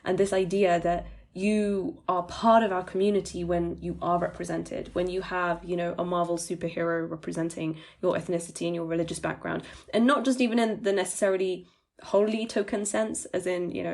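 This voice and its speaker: A woman co-hosting a podcast discussion, talking in a thoughtful, measured way and often hedging with "you know". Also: on the low side, steady tone, gravelly, a little mellow. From United Kingdom. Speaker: podcast co-host.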